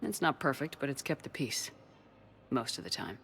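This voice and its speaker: A man's voice: mid-range voice, lively intonation, normal speed, vocal fry, fairly bright tone. An actor playing a human male military commander in a video game, plain-spoken, casual and encouraging. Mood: reassuring. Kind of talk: scripted video game dialogue.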